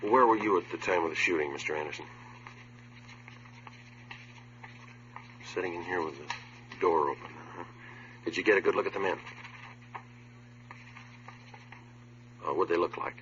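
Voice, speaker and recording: An actor playing a terse, businesslike police sergeant in a deadpan, matter-of-fact radio drama style. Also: male voice, sits low, rapid-fire. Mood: gently probing. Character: terse, businesslike male police sergeant, narrating his case. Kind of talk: 1950s radio drama acting, clipped procedural narration. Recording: dull, noisy old radio recording.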